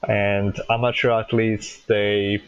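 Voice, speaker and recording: A man hosts a podcast in a low, flat voice, pausing and restarting words as he feels for the right phrasing. The sound is dry and slightly dull, with no room echo. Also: smooth. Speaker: podcast host. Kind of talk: podcast interview conversation.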